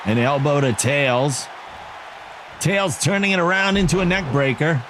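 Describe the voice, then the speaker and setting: Guy doing excited wrestling play-by-play, kinda high voice, loud game crowd behind him. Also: unhurried, slight background noise. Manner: excitable, self-joking asides between calls. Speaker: play-by-play commentator for a video game league. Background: video game arena crowd.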